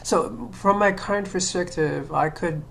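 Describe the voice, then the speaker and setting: Low-voiced woman, hesitant and musing. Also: unhurried, gravelly, slight background noise. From Sri Lanka. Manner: hesitant, many fillers and restarts, laughs self-consciously. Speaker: vlogger. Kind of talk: casual personal monologue.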